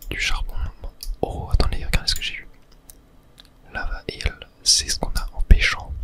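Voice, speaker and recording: A person whispering relaxed ASMR gameplay commentary, murmuring thoughts aloud as they play. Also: very high voice. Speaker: video game player and host.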